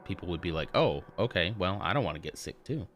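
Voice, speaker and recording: Dude streaming a game, jokey and chatty, deep voice but bouncy, faint music. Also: male voice, animated, quick pace, fairly bright tone, highs cut off. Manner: joking, chatty, laughs often. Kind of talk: casual let's play commentary.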